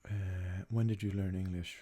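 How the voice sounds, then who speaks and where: A young man from Sweden, a native Swedish speaker, talks casually in a soft-spoken, hesitant ASMR style as he answers questions. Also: low voice, little pitch movement, measured pace, husky. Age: young adult. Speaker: ASMR creator.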